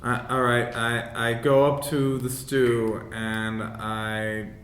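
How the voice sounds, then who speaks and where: Dude playing a tabletop rpg, casual and kinda hesitant, roomy recording w/ faint noise. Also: male voice, monotone, takes it slowly, a bit bright. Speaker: tabletop game player. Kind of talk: casual improvised roleplay chat. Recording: roomy echo, faint background noise, rumble.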